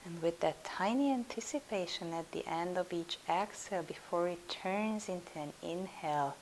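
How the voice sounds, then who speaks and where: A woman leading a guided yoga nidra meditation as a teacher, in a soothing, hushed, unhurried manner. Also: on the low side, poor audio. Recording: steady hiss.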